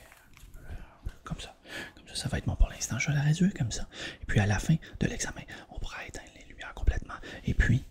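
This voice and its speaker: A man with a Quebec French accent doing a soft, hushed, reassuring ASMR roleplay as an examiner. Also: a little high.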